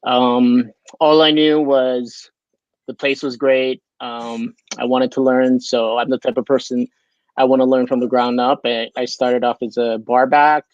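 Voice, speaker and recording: A man's voice: medium pitch, flat delivery, measured pace, dry recording. A man from Hawaii, a guest bartender, talking casually and easily in an interview over a video call. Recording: dull dry video call audio.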